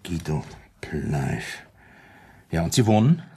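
Deep-voiced, pompous private detective. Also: male voice, sing-song, vocal fry, darkish tone, slightly rough, exaggerated voice. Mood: businesslike, fussy. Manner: officious, formal, repetitive, hesitant pauses. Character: pompous, obliging private detective with stiff formal manners. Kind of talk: German radio play acting.

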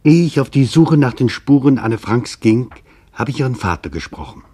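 A man narrating a scripted literary radio documentary in a solemn, deliberate, formal manner, with a husky voice. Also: mid-range voice, sing-song, dark tone.

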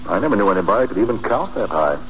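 Casting: scheming club boss, fast and animated, glib, vintage radio with faint hum. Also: male voice, lowish voice. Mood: eager, scheming. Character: breezy male club boss, opportunistic and smooth-talking. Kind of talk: 1940s radio acting. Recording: humming, noisy 1940s radio recording.

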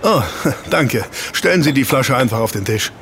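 An actor in a German audio drama playing a suave, jovial newspaper reporter with a chummy, teasing manner. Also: male voice, medium pitch, expressive, normal speed. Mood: chummy, curious. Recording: clear ambient noise behind.

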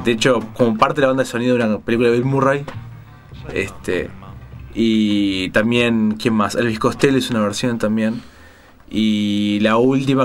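A man's voice: lowish voice, a bit bright. Rioplatense man talking music over a backing track. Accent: Rioplatense Spanish. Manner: conversational, digressive, thinking aloud as he explains. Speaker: radio music show presenter. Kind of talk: casual music commentary.

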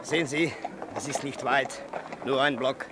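A man's voice: mid-range voice, steady tone, unhurried, background noise, close to an everyday voice. An actor playing an eager, courteous male hotel porter in a radio drama, speaking formally and obligingly.

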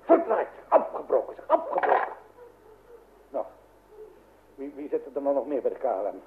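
A man's voice: mid-range voice, wildly swooping pitch, normal speed. An actor playing an anxious, blustering middle-aged father in an old radio comedy, stammering and repeating himself in agitation. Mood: flustered. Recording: noisy, dull vintage radio recording.

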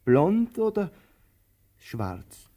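A man playing a weary, anxious, pensive tramp in a radio play, speaking with halting, brooding pauses. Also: sits high, wildly swooping pitch, dark tone, full character voice. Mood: urgent questioning. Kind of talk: German radio play acting.

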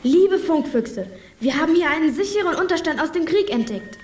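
A woman playing a lively, curious young girl from a detective gang in a German children's radio play, full of exclamations. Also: extremely high pitch, fairly quick, background noise. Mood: curious, excited.

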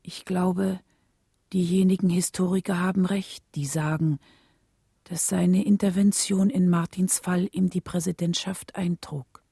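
An actress playing a dignified, devoted wife with a husky voice, in radio-play narration and dialogue. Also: female voice, fairly low pitch, flat delivery, a little slow, mellow, no room sound, studio quality, exaggerated voice. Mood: dignified, sincere. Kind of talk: scripted first-person narration and dialogue.

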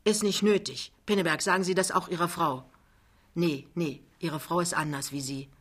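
A woman's voice: on the low side, fairly even intonation, normal speed, a bit bright, husky. Boisterous big male schemer voiced by a woman, chummy, slangy, blustering, storybook reading. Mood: confiding, chummy. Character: big, boisterous, fast-talking male wheeler-dealer, jovial. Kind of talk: dramatized literary reading.

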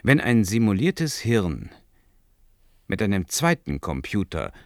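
Male researcher, fairly low, deliberate and didactic, sci-fi radio drama, dry close recording. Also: huge pitch swings, no echo, exaggerated voice. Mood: patiently explaining. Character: earnest male researcher methodically unfolding a hypothesis. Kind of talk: German science fiction radio play acting.

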